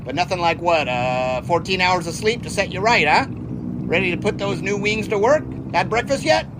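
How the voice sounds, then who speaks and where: An actor playing a cheerful, eager American test pilot of the 1920s in an audio drama, with a folksy, teasing manner. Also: male voice, high voice, lively intonation, moderate pace, noisy background. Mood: jovial, teasing. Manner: folksy, jaunty, enthusiastic. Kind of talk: period audio drama acting.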